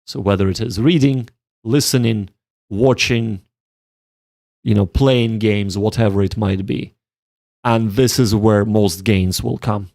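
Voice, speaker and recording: A man's voice: fairly low pitch, steady tone, unhurried, creaky, darkish tone, dry recording, clean studio sound. A man chatting casually on a study livestream, thinking aloud and reflecting on himself in a rambling way. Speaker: streamer learning Japanese. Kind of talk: casual livestream chat with study and game reading.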